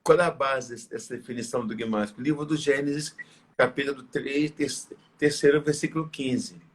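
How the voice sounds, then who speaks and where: A tired, slightly hoarse male priest, leading prayers and preaching in a reverent, measured way. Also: medium pitch, fairly even intonation, slight background noise. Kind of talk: live religious talk and prayer.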